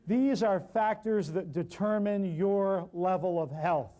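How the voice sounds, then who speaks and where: Loud, emphatic man with a high voice. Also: slow pace. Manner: loud, emphatic, folksy, repeating lists of words. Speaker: natural healing teacher. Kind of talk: workshop lecture to a live class.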